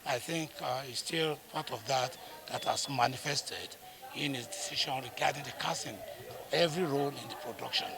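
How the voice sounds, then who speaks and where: A Nigerian-accented man, a stage actor, talking reflectively in an interview, deliberate and halting, with frequent pauses and repeated phrases. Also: medium pitch, gravelly, a crowd in the background, rough recording.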